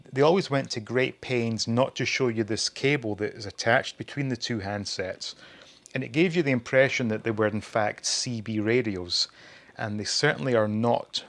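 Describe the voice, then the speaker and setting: A man's voice: mid-range voice, a bit bright. A man in his forties hosting a casual hobby review, chatting wryly to his viewers. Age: 40s. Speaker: host of a retro toy channel.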